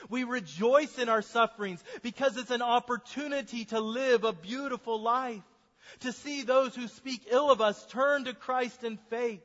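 A man preaching a sermon as a pastor, speaking earnestly and slowing down to stress key points. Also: high voice, a bit bright. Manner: earnest preaching, slows for emphasis. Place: church sanctuary. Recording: dull sermon recording in a reverberant church.

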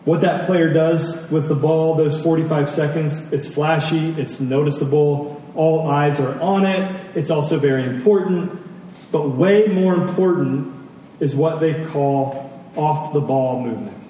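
In a very reverberant hall, picked up from a distance, a man delivers a sermon. His voice is fairly high and earnest. He teaches conversationally, repeating key phrases and posing questions to his listeners. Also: steady tone, unhurried, dark tone. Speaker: preacher. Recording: very echoey hall, distant mic, faint noise.

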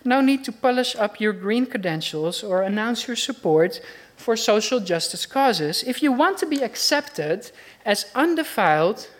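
A woman's voice: a little high, lively intonation, unhurried. A woman with a Dutch accent delivers a sermon. She preaches earnestly and conversationally, putting question after question to her listeners.

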